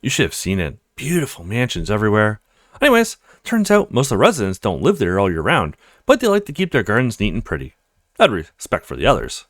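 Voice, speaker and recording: A man's voice: fairly low pitch, wildly swooping pitch, quick pace, dry recording, studio quality, voice pushed down, full character voice. A man voicing an eager, chatty young student in a read-aloud of a video game. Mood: excited. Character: eager upbeat young student with a new job.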